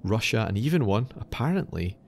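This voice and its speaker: A man's voice: fairly low pitch, big pitch swings, a little fast, darkish tone, slight background noise. A man hosting a podcast, narrating in an explanatory, slightly wry way that moves between scripted and casual. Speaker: podcast host.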